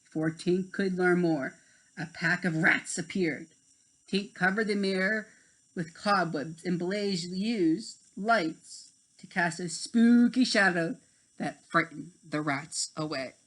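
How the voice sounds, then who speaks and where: Very slow-paced woman reading a storybook. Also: on the low side, a bit bright, poor audio. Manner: deliberate, dramatic pauses. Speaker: storybook reader. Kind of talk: scripted children's story narration.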